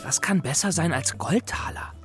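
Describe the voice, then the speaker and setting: Man acting bookish young scholar, timid, gentle, earnest speech, hesitant, radio drama, clear music underneath. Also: a little high, moderate pace, close to an everyday voice. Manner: hesitant, earnest, slightly fussy.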